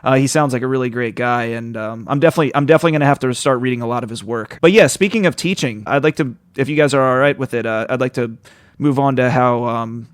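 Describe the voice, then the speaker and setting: Casual male podcast host, low and dry. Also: fast, smooth, no room sound. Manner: rambling, informal, peppered with slang and swearing. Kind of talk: casual interview chat.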